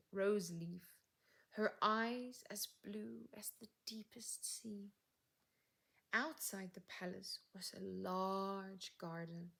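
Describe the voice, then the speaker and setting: Woman doing storytime, really slow n deliberate, expressive, soft breathy endings on phrases. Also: mid-range voice. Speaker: English teacher reading stories. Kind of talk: expressive storytelling, reading a fairytale aloud.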